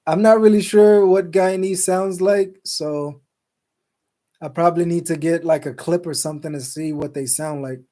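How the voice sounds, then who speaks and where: A man's voice: fairly high pitch, steady tone, moderate pace, mellow. A man hosting a live call-in show, talking loosely with many fillers and restarts and sprinkling in Islamic phrases as he reads viewer comments. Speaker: show host. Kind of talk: casual live-stream chat reading comments. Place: Salt Lake City, Utah.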